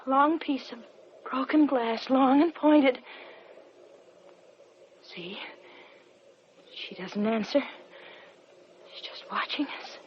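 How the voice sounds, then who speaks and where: An actress in a 1940s-style radio drama playing a terrified, hysterical wife, breathless and melodramatic. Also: female voice, sits high, takes it slowly, long pauses. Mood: fearful. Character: terrified, hysterical young wife urging her husband on. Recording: dull, noisy vintage radio recording.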